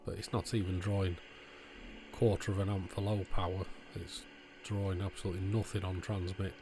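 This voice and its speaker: A man's voice: low voice, little pitch movement, fairly quick, music in the background. A man giving informal, matter-of-fact commentary on an electronics repair, thinking aloud as he works. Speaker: electronics repair video host.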